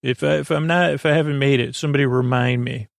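A man speaks in a very creaky, gravelly voice at a medium pitch, recorded very dry. He hosts a bedtime podcast, wandering softly from tangent to tangent. His delivery is halting, soothing and gently whimsical, full of fillers and pondering pauses. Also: fairly even intonation, dry recording. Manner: meandering, hesitant, halting, with frequent tangents and pauses. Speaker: podcast host. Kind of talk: rambling bedtime monologue.

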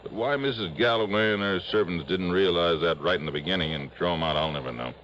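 A man playing a gruff, businesslike police lieutenant in a mid-century radio drama, speaking emphatically and matter-of-factly. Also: deep voice, fast, fairly bright tone. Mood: matter-of-fact, exasperated. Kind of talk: 1950s-60s radio drama acting. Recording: dull, hissy old radio recording.

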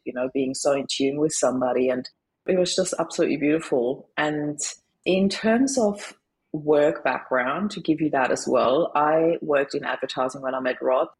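A woman in her forties with an Austrian accent, a grief coach telling her life story as a podcast guest, animated and laughing often. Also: deep voice, breathy edge, rough recording. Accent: Austrian German. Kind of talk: conversational interview storytelling.

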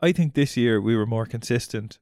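A man's voice: mid-range voice, a little fast, a little mellow, no room sound, clean studio sound. A man with an Irish accent bantering casually as a podcast co-host, teasing, joking and swearing freely. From Ireland.

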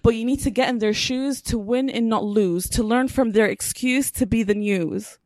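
A woman's voice: fairly high pitch, moderate pace, darkish tone, no echo. A woman in her twenties performing a spoken word poem with a rhythmic, emphatic delivery at a live panel. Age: 20s.

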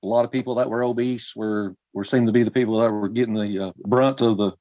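Halting forty-something man, dry sound. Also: fairly low pitch, steady tone, warm tone, dry recording. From Kentucky. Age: 40s. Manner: halting, many ums and restarts. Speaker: musician guest. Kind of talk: casual interview chat.

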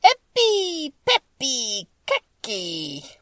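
A wicked witch speaks in a high, loud voice with wild swoops. She is imperious and emphatic, heard a little away from the mic. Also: wildly swooping pitch, takes it slowly, no room sound, clean studio sound, recorded from a distance, full character voice. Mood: chanting. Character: wicked witch, angry, commanding, chanting spells. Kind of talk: storybook character voice.

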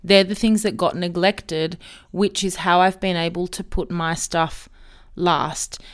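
A woman's voice: on the low side, fairly even intonation, measured pace. A woman in her thirties hosting her own podcast, talking candidly and chattily about her life in a solo monologue. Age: 30s. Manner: candid, chatty, self-reflective. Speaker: podcast host.

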